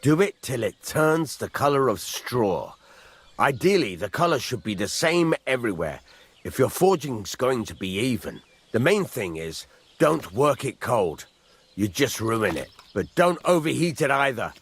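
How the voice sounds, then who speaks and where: An actor playing a gruff middle-aged village blacksmith in a video game, husky, blunt and rustic, giving plain instruction. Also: male voice, medium pitch, big pitch swings, unhurried, full character voice. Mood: patient, instructive. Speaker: master blacksmith. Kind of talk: video game character acting.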